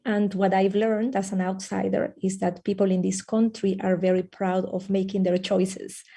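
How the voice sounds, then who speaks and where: A woman from Peru who teaches early childhood, talking in a webinar conversation with many fillers and pauses over a video call. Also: medium pitch, flat delivery, warm tone. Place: lives in the Netherlands.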